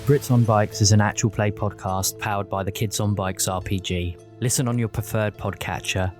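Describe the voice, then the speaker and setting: A man's voice: on the low side, fairly even intonation, normal speed, music in the background. A man reading a scripted podcast promo as a voice-over announcer.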